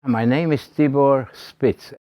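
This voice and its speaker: A man, recounting his early life in a measured, deliberate way. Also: medium pitch, mellow, dry recording. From Slovakia. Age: 90s. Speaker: interviewee. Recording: dry muffled lo-fi recording.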